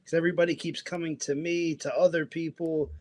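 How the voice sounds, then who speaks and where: A man's voice: a little high, flat delivery, brisk, darkish tone. A man who grew up in the United States, talking politics on a podcast in a rambling way, full of restarts. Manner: rambling, many restarts and asides. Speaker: podcast guest. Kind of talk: casual political discussion.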